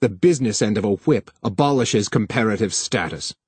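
A man reading an audiobook voices a desperate, angry young male technician, bitter and defensive, with a husky voice. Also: lowish voice, expressive, fairly quick, a little mellow, dry recording.

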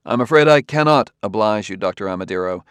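Man playing a forceful, cutting, formal investigator. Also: mid-range voice, fairly quick, clear, resonant tone, dry recording, studio quality, lightly acted. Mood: firm. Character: blunt, determined male Earth investigator, formal and insistent. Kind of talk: audiobook character dialogue.

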